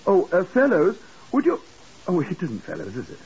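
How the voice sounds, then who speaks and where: Flustered, swooping English hotel keeper. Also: male voice, high voice, huge pitch swings, moderate pace, darkish tone, slightly rough, exaggerated voice. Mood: fussy, chatty. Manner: stammering, fussy, hesitant. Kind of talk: 1950s radio drama acting. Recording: muffled, hissy 1950s radio recording.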